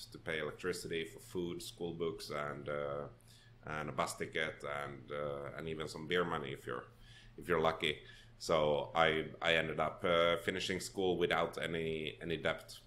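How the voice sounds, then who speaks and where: Very low man, easygoing interview guest with a storyteller's ease. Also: fairly even intonation, normal speed, a bit bright. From Finland. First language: Swedish. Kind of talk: casual interview.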